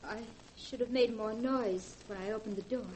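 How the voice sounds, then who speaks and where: A woman's voice: fairly high pitch, normal speed, a little mellow, slight background noise, close to an everyday voice, rough recording. An actress playing a bitter, wounded young woman with controlled reproach, in 1940s radio drama style. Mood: icy, hurt.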